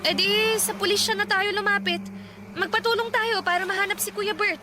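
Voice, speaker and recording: An anxious young granddaughter. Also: female voice, very high-pitched, fairly even intonation, brisk, over loud music. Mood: worried. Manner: anxious, questioning, emphatic. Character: young woman granddaughter, worried and outspoken.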